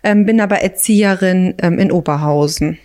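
A woman's voice: lowish voice, darkish tone. 20s woman from the ruhr area, daycare teacher, thoughtful, lots of ums. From Ruhr area, Germany. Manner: thoughtful, pauses with fillers. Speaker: kindergarten teacher. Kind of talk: interview answers.

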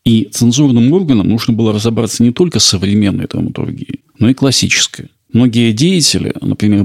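A man narrating a scripted history podcast, measured and explanatory with occasional small slips. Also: on the low side, gravelly, husky. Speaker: podcast host.

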